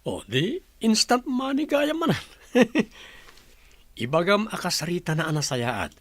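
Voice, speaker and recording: A man's voice: high-pitched, sing-song, measured pace, fairly bright tone, no echo, heavily acted. A man with an Ilocano accent acting in a radio play as a breezy, defensive, free-spending married son. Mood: eager.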